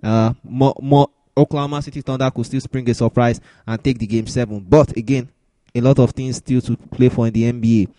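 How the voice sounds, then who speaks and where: A man's voice: mid-range voice, fairly even intonation, a little fast, mellow, dry recording. A man hosting a sports podcast, talking through news and results as commentary. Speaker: podcast host.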